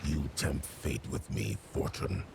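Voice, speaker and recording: An actor playing a menacing, taciturn fish-man from a video game, with a deep gravelly growl and deadpan delivery. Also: takes it slowly, full character voice. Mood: dry, threatening. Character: menacing male fish-man sea creature, dry and taciturn. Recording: noisy ambient background.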